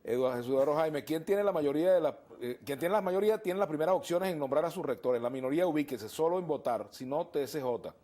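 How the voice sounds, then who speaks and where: A man hosting a TV talk show in a studio, moderating and reading out viewer messages in a brisk, conversational way. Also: fairly high pitch, animated, warm tone. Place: television studio.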